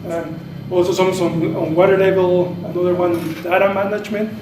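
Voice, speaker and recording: A man with a high-pitched, flat delivery makes an informal introduction at a training session. He sounds distant in an echoey room. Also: a little fast. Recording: echoey room, distant mic, faint noise.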